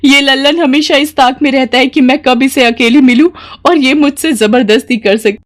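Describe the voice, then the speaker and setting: A woman's voice: sits high, steady tone, moderate pace, pitched above the performer's own voice, lightly acted. A woman voicing a frightened, indignant young village daughter in a storybook tale, pleading and protesting. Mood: tearful protest.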